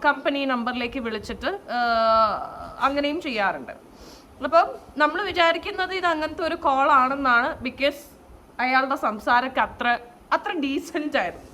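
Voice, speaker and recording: A woman telling a story in a casual, animated vlog style, mixing in English phrases. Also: sits high. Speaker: vlogger and saree store owner. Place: at home, indoors. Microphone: no external microphone, camera's own mic. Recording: faint noise, no microphone.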